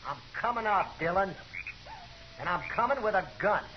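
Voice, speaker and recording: A defiant frontier outlaw with nothing left to lose. The voice is high and bitter, with a taunting delivery, in a noisy, muffled vintage radio western. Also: male voice, expressive, normal speed. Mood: desperate, defiant. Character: defiant, bitter cornered Old West outlaw, adult man. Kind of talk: 1950s radio western acting.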